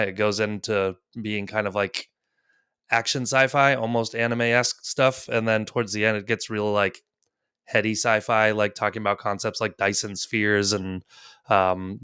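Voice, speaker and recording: A man's voice: lowish voice, a bit bright, clear, resonant tone, no echo, studio quality. A man in his thirties hosting a casual gaming podcast, rambling with lots of fillers and easy laughs. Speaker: podcast host.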